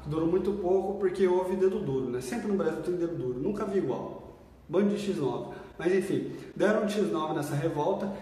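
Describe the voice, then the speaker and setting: A man's voice: fairly high pitch, a little mellow. A man with a Brazilian Portuguese accent giving an informal recorded history lesson for exam prep, chatty and slangy, often checking in with his students. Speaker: history teacher. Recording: echoey room, distant mic.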